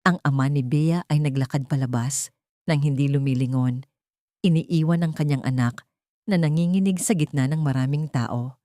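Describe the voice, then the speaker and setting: A woman's voice: sits low, moderate pace, mellow, no room sound, clean studio sound. A woman narrating a scripted dramatic story as a storyteller.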